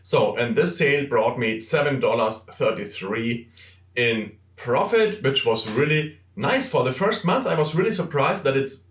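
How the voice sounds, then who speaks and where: A man from central Europe for whom English is a second language, talking in an earnest, plain-spoken way with many small fillers and restarts. His voice sits at an everyday middle pitch. The room around him sounds echoey. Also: expressive, measured pace. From small country in central Europe. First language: not English. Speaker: side-hustle vlogger. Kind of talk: casual screen-share explainer.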